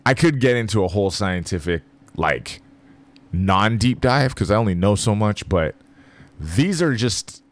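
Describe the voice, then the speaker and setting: A man hosting a podcast, talking loosely and jokingly to the listener, explaining fasting in a casual monologue. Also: fairly low pitch, expressive. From Philippines.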